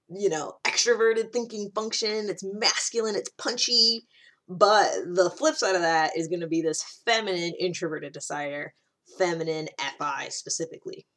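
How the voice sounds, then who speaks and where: A woman presents in a casual, explanatory way with a voice on the lower side. She talks informally, as if thinking aloud to her viewers, and tags her points with little check-ins. The delivery is that of an unscripted solo video. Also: a bit bright. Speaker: video presenter on personality typing.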